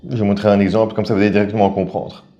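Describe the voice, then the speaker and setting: A man's voice: fairly low pitch, level tone, racing, dark tone, highs cut off. A middle-aged man, a family vlogger, chatting casually to camera and explaining things step by step.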